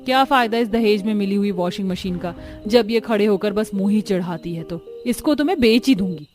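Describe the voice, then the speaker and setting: A woman voicing earnest, plaintive village housewives and mothers-in-law in a cartoon story, with an expressive, emphatic delivery. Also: medium pitch, a little fast, faint music behind, close to an everyday voice. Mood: exasperated.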